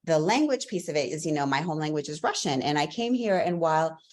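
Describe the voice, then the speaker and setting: A woman's voice: low-pitched, expressive, fast talker, a little mellow. A woman professor answering interview questions, telling her story fluently with a few fillers. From Latvia. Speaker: guest, professor.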